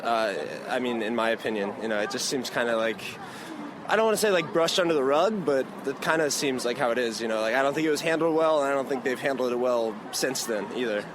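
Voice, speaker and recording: A man's voice: mid-range voice, animated, a little fast. A man from Florida, answering a street interview casually with many fillers. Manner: casual, hesitant, lots of fillers. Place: city street outdoors. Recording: street recording with traffic noise.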